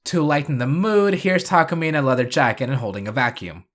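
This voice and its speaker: A man's voice: medium pitch, fast, a bit bright. Man narrating with dry sarcasm. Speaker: video essay narrator. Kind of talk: scripted review and recap narration.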